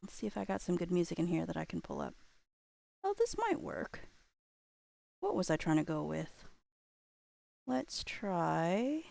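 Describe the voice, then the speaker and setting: Gravelly-edged woman streamer chatting casually. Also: lowish voice, very animated, a little slow, pauses often, mellow, no echo. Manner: scattered, thinking aloud, playful little nonsense sounds. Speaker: live streamer host. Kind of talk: casual livestream chat.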